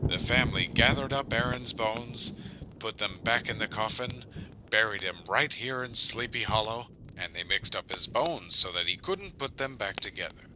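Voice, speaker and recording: A man's voice: lowish voice, moderate pace, noisy background, close to an everyday voice. A man playing a folksy village storyteller, telling a spooky tale rhythmically and expressively in an audio drama. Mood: relishing, spooky.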